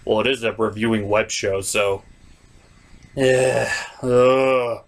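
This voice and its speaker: A man's voice: fairly low pitch, steady tone, slow. A man hosting a scripted comedic review with skits, with a theatrical, exasperated delivery. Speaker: comic reviewer and host.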